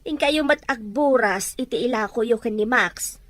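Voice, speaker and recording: A woman's voice: on the high side, moderate pace, a bit bright, close to an everyday voice. A woman playing a practical, exasperated rural mother in a family radio drama, scolding and questioning. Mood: exasperated nagging.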